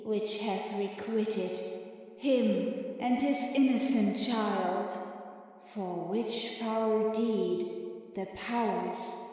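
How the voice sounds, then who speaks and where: Avenging harpy spirit woman actor, audiobook Shakespeare. Drawn-out, mellow, deliberate, grave; drenched in cavernous echo. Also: on the high side. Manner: slow, deliberate, portentous delivery with long pauses. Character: airy spirit Ariel disguised as a harpy, solemn, condemning. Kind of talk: dramatic Shakespeare audiobook reading. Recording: very echoey long reverb, faint music.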